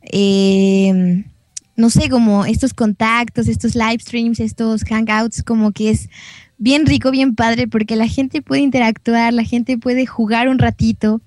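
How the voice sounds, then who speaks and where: A young woman with a Mexican accent talks casually as an interview guest. Her voice sits fairly high and she speaks with enthusiasm. The sound is dry, with very little room in it. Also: steady tone, unhurried, a little mellow, no echo.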